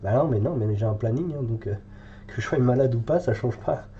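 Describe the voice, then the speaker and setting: Congested man with a dark voice. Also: mid-range voice, a little fast, muffled recording, slight background noise. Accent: French. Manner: hesitant and chatty, many fillers, thinking aloud while painting. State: has a cold, congested. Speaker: miniature painting tutor. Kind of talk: live painting tutorial.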